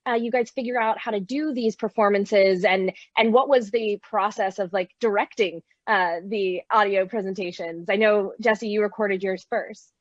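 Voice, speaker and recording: A woman's voice: mid-range voice, steady tone, moderate pace, no room sound. A woman moderating a panel, putting questions in a conversational interviewing style, on slightly dull, dry call audio.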